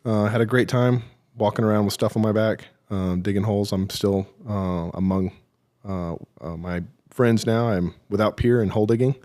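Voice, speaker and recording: A man's voice: fairly low pitch, steady tone, moderate pace, mellow. A middle-aged military veteran, a man, telling his career story in an interview with dry, self-deprecating humor and many hesitations.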